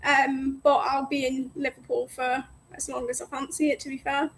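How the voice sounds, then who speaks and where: A bubbly, outgoing woman in her twenties, from North Wales, talking over the phone. Her voice sits high and bright, and her chat is friendly and easygoing. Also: little pitch movement, normal speed, low-quality recording. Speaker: guest, cleaning business owner. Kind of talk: casual interview chat. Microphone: phone call.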